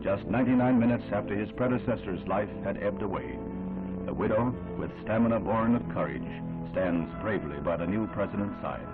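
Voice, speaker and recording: A man's voice: on the low side, fairly quick. An American man reads scripted 1960s newsreel narration in a solemn, dramatic, declamatory manner. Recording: tinny band-limited vintage newsreel, music behind.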